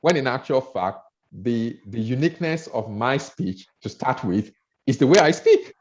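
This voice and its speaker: A man's voice: medium pitch, animated, moderate pace. Nigerian man coaching speakers, lo-fi. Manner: earnest, repeats words and restarts often, rising emphasis on key points. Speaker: speaker trainer. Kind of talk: coaching talk on public speaking. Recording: dull lo-fi audio.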